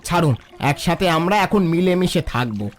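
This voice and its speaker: A man's voice: fairly high pitch, lively intonation, fairly quick, slight background noise, natural voice. A man playing a humble, forgiving poor villager in a cartoon, speaking respectfully and consolingly. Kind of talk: dramatic cartoon dialogue. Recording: music bed under the voice.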